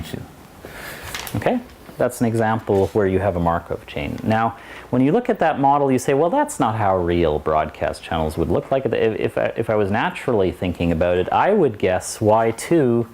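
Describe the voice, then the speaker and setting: Deep-voiced male university lecturer. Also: noisy background. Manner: digressive, many restarts and asides. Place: lecture hall.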